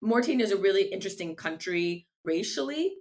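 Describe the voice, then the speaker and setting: A woman's voice: medium pitch, animated, quick pace, a little mellow. A woman whose first language is Farsi, answering interview questions in an earnest, thoughtful way with frequent restarts. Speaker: guest, legal tech founder.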